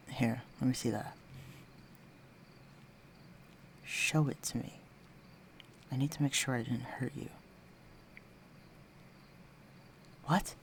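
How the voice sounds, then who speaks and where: Man playing a teasing, gravelly flirtatious villain. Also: medium pitch, a little hiss or hum. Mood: concerned, coaxing. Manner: teasing, coaxing, breathy murmurs. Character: flirtatious teasing male villain, secretly tender and concerned. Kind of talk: intimate scripted audio roleplay to the listener.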